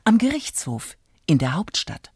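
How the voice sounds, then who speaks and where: A narrator voicing a farmer's sly wife, glibly spinning lies in an animated way in a folk tale. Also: mid-range voice, measured pace, breathy edge, dry recording, clean studio sound. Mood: feigned innocence. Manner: animated, tall-tale telling.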